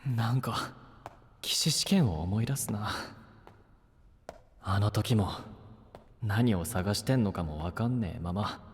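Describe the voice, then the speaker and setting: An actor playing a brash, rough-talking young knight and younger brother in an anime-style voice drama. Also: male voice, fairly low pitch, animated, slow, long pauses, in a large hall, a little hiss or hum. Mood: wry, musing. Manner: blunt, rough masculine speech.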